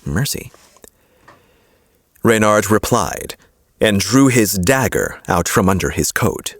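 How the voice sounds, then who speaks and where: A man's voice: fairly low pitch, sing-song, slow pace, dry recording. A man reading scripted audiobook narration and repeating lines in retakes.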